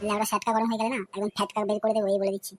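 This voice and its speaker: Brash young male cartoon suitor played by a woman, West Bengal accent, crude swagger, very rapid delivery, music underneath. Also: medium pitch, level tone, very noisy, natural voice. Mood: angry bullying. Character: brash, foul-mouthed young male suitor, pushy and menacing. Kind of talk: comedy cartoon dialogue. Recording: music behind the voice.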